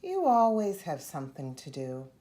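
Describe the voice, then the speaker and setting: A woman voicing a caring, gently fussing mother in a children's picture book. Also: low voice, wildly swooping pitch, moderate pace. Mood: fond, teasing. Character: caring, smiling mother of a young boy.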